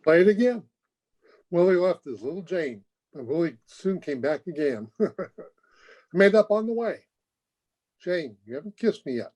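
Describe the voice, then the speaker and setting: A man's voice: high voice, expressive, a little slow, pauses often, dark tone. A man playing a brash, flippant, jaunty young suitor in an old-time radio drama. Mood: playful. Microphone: video call. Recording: dull lo-fi video call.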